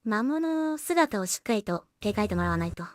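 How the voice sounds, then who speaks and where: A woman's voice: mid-range voice, very animated, normal speed, no room sound, studio quality. A woman giving casual work-along commentary as a solo creator, half talking to herself in short, trailing remarks. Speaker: solo animation creator and streamer.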